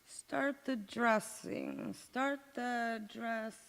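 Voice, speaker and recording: A hapless housewife played by a woman in an old radio comedy, staged in a reverberant hall. She talks slowly, swinging between deadpan retorts and flustered wails, in a fairly high voice. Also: gravelly, clean tone, exaggerated voice. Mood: dazed, muddled. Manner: dry, deadpan comebacks and flustered wailing. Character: frazzled, hapless housewife overwhelmed by a cooking disaster. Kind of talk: golden-age radio sitcom acting on stage. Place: large indoor hall, live stage performance. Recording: echoey hall, community theatre stage.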